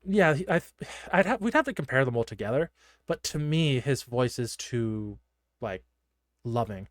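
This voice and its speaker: A man talks casually as a podcast co-host, in a middle-pitched voice. His delivery is chatty and loose, with a giggly streak. The sound is dry, with very little room around it. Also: expressive, moderate pace, no room sound. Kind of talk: casual discussion of a horror anime episode.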